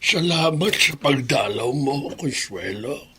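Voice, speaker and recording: A man's voice: medium pitch, lively intonation, takes it slowly, a crowd in the background. An actor playing an aggrieved, self-pitying elderly Filipino father in a radio drama, emotional and reproachful. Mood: tearful, ashamed. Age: older.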